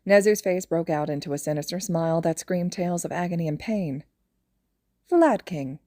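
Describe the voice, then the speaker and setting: A woman narrates a piece of fan fiction from a script. Her voice sits a little low and is relaxed and dark in tone. The sound is very dry, with no room to it. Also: fairly quick, no echo.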